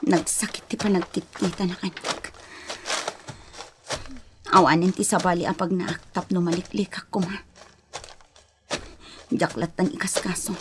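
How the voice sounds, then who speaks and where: An actress with an Ilocano accent playing a long-suffering, respectful daughter-in-law in a radio drama. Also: female voice, on the low side, fairly even intonation, unhurried, pauses often, background noise, natural voice. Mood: weary, resentful.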